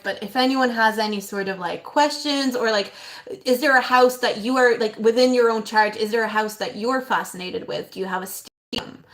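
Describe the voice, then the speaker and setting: A young woman hosting an astrology livestream, whose first language is not English, talking in a rambling, reflective way with fillers and soft laughs. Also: a little high. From outside Ireland, moved there at eleven. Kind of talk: casual livestream talk.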